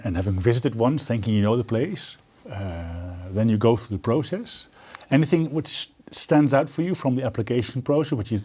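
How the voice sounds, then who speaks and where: A man's voice: medium pitch, a little mellow, slightly rough. A man interviewing someone conversationally, chatty and wordy, often chiming in with remarks of his own.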